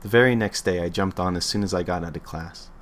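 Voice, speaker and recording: A man's voice: low-pitched, quick pace. A man reading a creepy internet story aloud on a livestream in an offhand way, stumbling and correcting himself as he goes.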